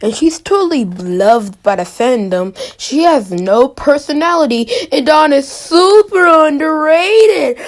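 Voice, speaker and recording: A woman with a fairly high voice hosts a ranking video. She talks informally and emphatically, delivering opinionated commentary as she works through her list. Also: lively intonation, measured pace, hardly any pauses. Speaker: host of a fan ranking video.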